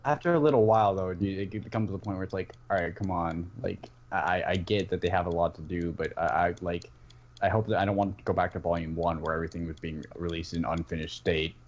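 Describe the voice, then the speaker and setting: A man in a casual fan discussion, talking haltingly and often repeating his words as he goes. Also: fairly even intonation. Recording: muffled, noisy low-fi call audio.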